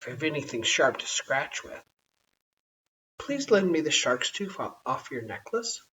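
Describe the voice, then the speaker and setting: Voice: medium pitch, big pitch swings, unhurried, pushed and bright. An adult storyteller voicing an eager, chatty, polite little girl in a children's storybook. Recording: dull, hissy, distant home recording.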